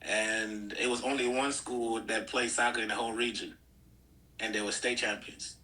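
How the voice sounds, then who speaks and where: A young man from Nigeria, a guest on an interview podcast, telling his story in a relaxed way with frequent "uh" fillers. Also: lowish voice, moderate pace, rough recording. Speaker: guest, pro athlete. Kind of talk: casual interview chat.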